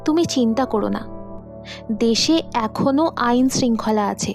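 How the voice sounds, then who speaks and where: A woman voicing an anxious but supportive village wife in a cartoon story, expressive and concerned. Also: a little high, measured pace. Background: background music.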